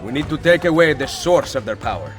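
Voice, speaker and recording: A man's voice: medium pitch, very animated, a little fast, natural voice. Game casting: male actor as resolute Italian Renaissance assassin, dry wit, proud and defiant, delivered over music. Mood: impassioned. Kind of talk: dramatic video game acting. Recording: music behind the dialogue.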